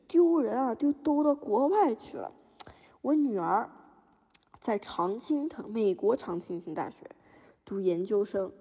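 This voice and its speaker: A woman's voice: on the high side, mellow, husky, in a large hall, heavily acted. A woman playing a proud, aggrieved older Beijing citizen who boasts and complains as a plaintiff in a satirical courtroom audio drama. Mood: indignant.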